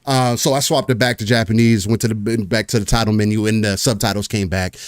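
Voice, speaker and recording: A man's voice: on the low side, fairly even intonation, a little fast, clear, resonant tone, no room sound. A man hosting a casual gaming podcast, talking loosely with lots of fillers and restarts. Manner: rambling, many fillers and restarts. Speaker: podcast host.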